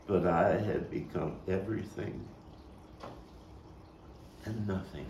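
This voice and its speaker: A man performing a stage monologue as a haunted Brooklyn man confessing a boyhood killing, halting, brooding, bitter and anguished. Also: low voice, darkish tone. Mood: tormented, remorseful. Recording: roomy, distant mic, faint noise.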